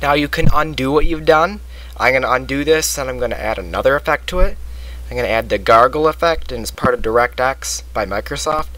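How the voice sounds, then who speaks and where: A man narrating a software tutorial step by step, recorded close on a computer microphone. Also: mid-range voice, a little fast, a little hiss or hum, low-quality recording. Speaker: tutorial presenter. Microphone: close computer microphone, voice-over.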